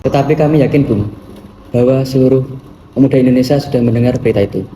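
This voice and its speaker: Young male independence firebrand, man acting: formal, insistent, rapid, very reverberant distant recording. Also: mid-range voice, natural voice. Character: impatient young Indonesian male independence activist. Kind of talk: amateur historical film acting, formal scripted dialogue. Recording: very echoey, distant, loud noise.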